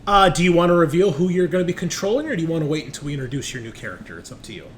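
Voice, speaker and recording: A man's voice: on the high side, very animated, rapid-fire. A man hosting a tabletop roleplaying show, chatting in a casual, informal and jokey way as he introduces things. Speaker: host and game master of a tabletop roleplaying show. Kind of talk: casual podcast introduction and banter. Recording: clearly noisy background.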